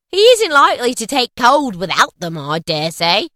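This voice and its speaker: A woman voicing a gloating working-class woman thief in a storybook, callous and mocking, with rough, scornful, cackling speech. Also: fairly high pitch, huge pitch swings, moderate pace, fairly bright tone, dry recording, studio quality, voice pushed up. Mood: coolly scornful. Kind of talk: storybook character acting.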